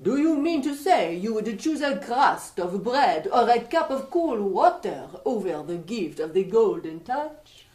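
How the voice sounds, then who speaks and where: A woman voicing a mysterious magical young man with a put-on French accent, smooth and knowing. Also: fairly high pitch, big pitch swings, measured pace, few pauses, a little mellow, roomy sound. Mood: probing.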